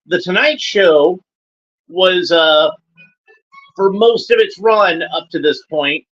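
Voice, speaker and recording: A man's voice: high voice, slow, a bit bright, highs cut off, faint music behind. A man giving casual running commentary while watching an episode, chatty and fond, pointing out details as they come up.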